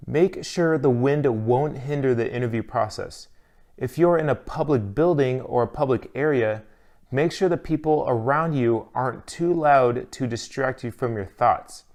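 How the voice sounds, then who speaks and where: A man's voice: mid-range voice, darkish tone. Man narrating a scripted lesson. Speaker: instructor.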